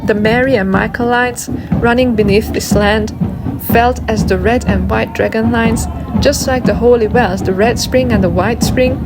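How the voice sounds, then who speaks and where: A woman's voice: on the high side, fairly even intonation, moderate pace. Woman narrating reverently over prominent music. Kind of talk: scripted documentary narration. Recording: music behind the voice.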